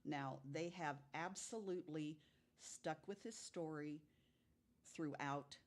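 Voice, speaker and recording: Low-voiced woman telling mystery stories. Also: takes it slowly. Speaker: podcast guest telling stories. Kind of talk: casual storytelling of unexplained mystery cases.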